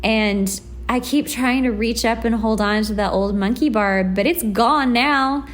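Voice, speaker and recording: A woman's voice: a little high, measured pace. A woman hosting a casual motivational solo podcast, talking in an informal, conversational and emphatic way. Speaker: podcast host.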